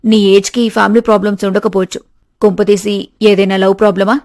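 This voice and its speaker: A woman voicing a confident, motherly and slightly crafty middle-aged aunt in an animated story. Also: mid-range voice, fairly quick, no echo, clean studio sound, close to an everyday voice. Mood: motherly, friendly. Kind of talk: animated moral story dialogue.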